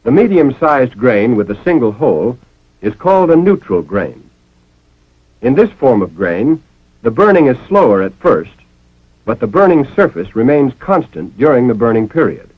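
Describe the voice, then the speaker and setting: Casting: American man, 1940s training-film narrator, slightly husky, didactic, dull vintage soundtrack. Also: mid-range voice, big pitch swings, moderate pace, no echo.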